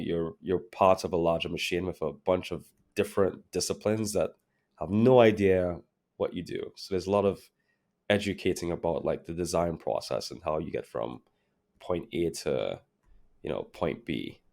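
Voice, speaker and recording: Low, gravelly male guest from Trinidad and Tobago; relaxed, reflective, filler-heavy delivery. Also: moderate pace. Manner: relaxed, reflective, many fillers like "like" and "you know". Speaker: guest, creative director. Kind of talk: casual interview conversation.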